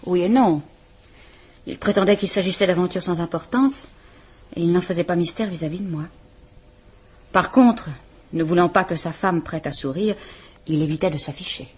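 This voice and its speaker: A husky, frightened but dignified wife. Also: female voice, on the low side, moderate pace, long pauses, darkish tone. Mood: restrained, bitter. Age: 30s. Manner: composed, formal, restrained. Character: frightened, dignified woman of about thirty. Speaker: woman reporting her husband. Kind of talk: vintage radio drama acting.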